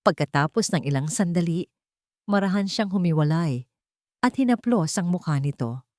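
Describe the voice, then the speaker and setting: A woman's voice: on the low side, animated, moderate pace, darkish tone, no room sound, clean studio sound. A woman reading a scripted, dramatic audio story as a storyteller.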